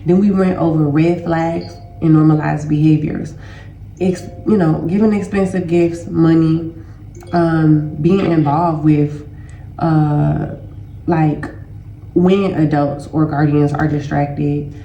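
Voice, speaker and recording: A woman's voice: low-pitched, steady tone, takes it slowly, mellow, muffled recording, slight background noise. A woman with a stuffy nose and sore throat, talking casually to viewers as a healing educator and host, loose and conversational. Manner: conversational, loose, addresses listeners as y'all. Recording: roomy echo.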